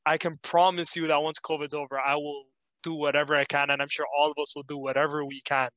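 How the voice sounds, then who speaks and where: A man's voice: fairly high pitch, little pitch movement, fast, fairly bright tone, no room sound, dull audio. A twenty-year-old man talking earnestly in a casual chat about student life. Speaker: student podcast co-host.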